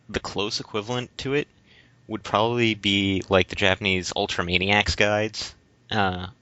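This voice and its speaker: Male podcast co-host, casual and rambling with halting explanations. Dry but dull, lo-fi recording. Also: fairly low pitch, pushed and bright, dry recording. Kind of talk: casual discussion of games.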